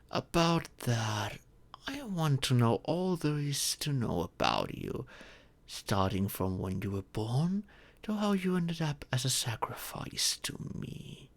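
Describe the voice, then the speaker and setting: Slow, creaky, stately dragon, played by a man. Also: mid-range voice, full character voice. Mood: calm, decisive. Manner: formal, measured, theatrical, unhurried. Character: gentle, stately dragon adopting a sacrificed child. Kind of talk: audio roleplay addressed to the listener.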